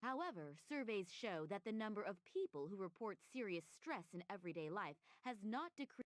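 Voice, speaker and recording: A woman playing a brisk, neutral television news anchor in a video game, reading formally. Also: medium pitch, sing-song, no echo, close to an everyday voice.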